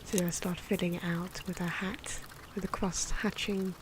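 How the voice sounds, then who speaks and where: A soft-spoken woman giving ASMR drawing commentary, often slipping into a breathy whisper, with hesitant, unhurried phrasing. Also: lowish voice, little pitch movement. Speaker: ASMR video creator narrating own drawing. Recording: noisy, pencil scratching and ambient sound. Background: pencil and pen noises, outdoor wind.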